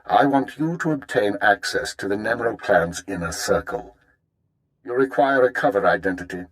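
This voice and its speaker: A man playing a stern, formal intelligence chief in a video game, giving measured, authoritative orders. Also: fairly low pitch, animated, natural voice, poor audio. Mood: stern, instructive. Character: stern male imperial intelligence chief briefing an agent. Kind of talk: scripted video game dialogue.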